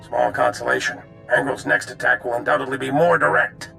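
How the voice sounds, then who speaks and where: An actor playing a stern, authoritative general in a sci-fi video game, with a gravelly voice. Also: male voice, medium pitch, fairly even intonation, brisk, bright, forceful tone, soft background music. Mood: grave, urgent. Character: stern, resolute male Republic general.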